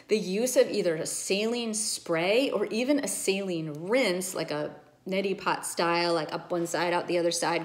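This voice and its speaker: A middle-aged woman doctor explaining nose and sinus care to a phone camera at home, earnest and emphatic. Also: mid-range voice. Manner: earnest, emphatic, gestures with her hands. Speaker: ENT doctor. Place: home room with bookshelves, indoors. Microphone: phone camera at arm's length.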